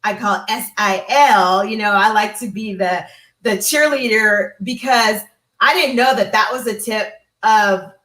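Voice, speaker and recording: A woman's voice: mid-range voice, a bit bright. A woman giving a casual, heartfelt personal testimonial as a guest, talking effusively and conversationally. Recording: roomy, echoey sound.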